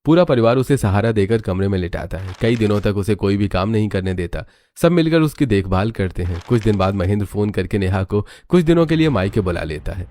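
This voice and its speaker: A man's voice: lowish voice, lively intonation, brisk, hardly any pauses, warm tone. A man giving scripted storybook narration for a family cartoon.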